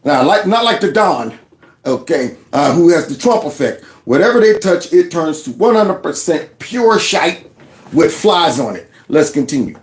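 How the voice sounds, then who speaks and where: Man, reaction commentary, higher voice, echoey room. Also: a little slow. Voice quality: animated, repeats words. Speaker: political commentary host.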